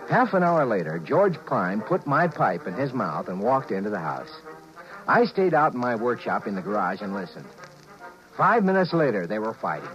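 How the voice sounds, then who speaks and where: A man's voice: lowish voice, big pitch swings, moderate pace, a bit bright, soft background music. An American man playing a wry, scheming married businessman who tells his own story in a 1950s radio drama. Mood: wry narration. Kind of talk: 1950s radio drama acting with first-person narration. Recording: dull, noisy 1950s radio recording.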